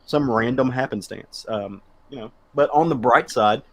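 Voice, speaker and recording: A young man chats on a podcast with a stuffy, congested voice. He talks in an easygoing way, halting with fillers as he goes. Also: mid-range voice. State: congested with a cold. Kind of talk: casual chat.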